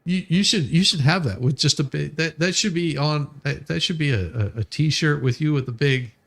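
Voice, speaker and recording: Creaky-voiced man swapping stories. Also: fairly high pitch, normal speed, fairly bright tone. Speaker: musician, bass player, livestream co-host. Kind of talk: casual chat with anecdotes.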